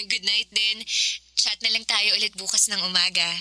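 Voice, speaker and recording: A woman's voice: medium pitch, steady tone, a little slow, sharp tone, dry recording, low-quality recording. An actress playing a carefree, teasing young career woman in casual, playful radio drama dialogue. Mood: fond.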